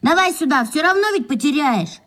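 A woman's voice: high voice, lively intonation, fairly quick, fairly bright tone. A woman playing an eager, cheeky young schoolboy sidekick in a radio play.

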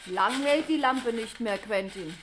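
A woman acting a detective's teasing, long-suffering sidekick in a sixties radio play. Her high voice sits in clearly noisy, clicky old audio. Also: a little slow, close to an everyday voice. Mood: wry, puzzled. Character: detective's wry, long-suffering female assistant. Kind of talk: 1960s German radio crime drama acting.

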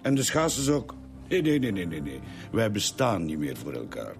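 An actor playing a slippery ex-convict restaurant boss with a Flemish accent in a radio drama, mockingly friendly and sarcastic. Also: male voice, deep voice, wildly swooping pitch, normal speed, soft background music, exaggerated voice. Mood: defensive. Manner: smooth-talking, sarcastic.

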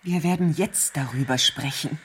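An actress playing a nervous, demanding wealthy invalid wife, imperious and self-pitying, in a 1970s radio crime drama. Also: female voice, low voice, moderate pace, a bit bright, slight background noise. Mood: suspicious, imperious.

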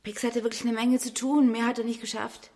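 Actress as a high, creaky-voiced female agent. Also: level tone, a little fast, warm tone, lightly acted. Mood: terse, urgent. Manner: terse, insistent. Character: stubborn, tough young female cyber agent. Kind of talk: radio drama acting.

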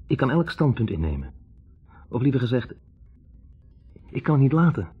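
An actor playing a wavering, introspective young medical student, hesitantly searching for words in a 1960s radio drama. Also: male voice, mid-range voice, very animated, moderate pace, slightly rough, faint music behind. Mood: hesitant, reflective. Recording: dull, band-limited 1960s radio recording.

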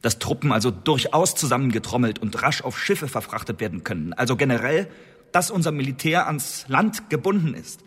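An accused poet-spy in a historical radio play, with a husky voice in a long, hall-like reverb. He protests earnestly and insistently, defensive but with a cheeky edge. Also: mid-range voice, lively intonation, brisk, a bit bright. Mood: self-justifying. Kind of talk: radio drama acting.

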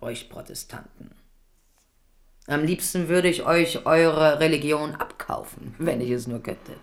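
A shrewd middle-aged queen in a radio play, really low voice, coaxing but bossy. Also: measured pace. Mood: coaxing, calculating. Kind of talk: German radio drama acting.

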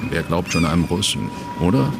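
Swooping, cajoling grandiose schemer. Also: male voice, low-pitched, sing-song, gravelly, heavy background noise, heavily acted. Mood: pleading, persuasive. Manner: theatrical, cajoling, drawn-out phrases. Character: wheedling, grandiose middle-aged male émigré schemer. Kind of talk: radio play acting, dramatic dialogue.

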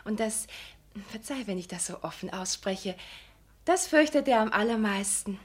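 A woman's voice: mid-range voice, expressive, moderate pace, natural voice. An actress playing a pious, fawning married woman in a radio drama, hesitant and over-polite, with diction. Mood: confiding. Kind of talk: German radio drama acting.

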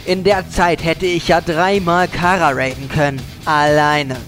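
A man's voice: on the high side, fairly even intonation, unhurried, fairly bright tone. Male actor as cocky, foul-mouthed elf adventurer for comic fantasy radio play, impatient and bossy, music underneath. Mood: arrogant, annoyed. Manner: bossy, sneering, insulting. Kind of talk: fantasy audio drama parody.

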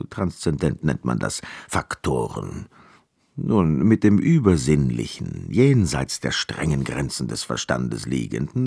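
An actor playing a nervous, condescending young mathematics professor in a German radio play, lecturing in a halting, evasive way. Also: male voice, sits low, gravelly, a little mellow, slightly rough, full character voice. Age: young adult. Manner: halting, lecturing, swinging emphatically.

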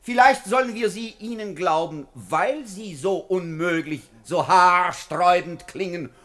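An actor playing a scornful, sardonic politician declaiming in parliament in a 1950s German-language radio play, rhetorical and mocking. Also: male voice, high voice, unhurried, fairly bright tone. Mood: mocking, accusing. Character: scornful, sardonic parliamentary orator attacking a minister.

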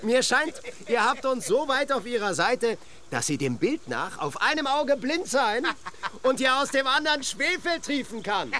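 A mocking travelling merchant in a radio play. The voice is high and bright, swooping about as it wheedles with false politeness. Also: male voice, very animated, moderate pace, heavily acted. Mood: mock-polite. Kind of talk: German radio play acting.

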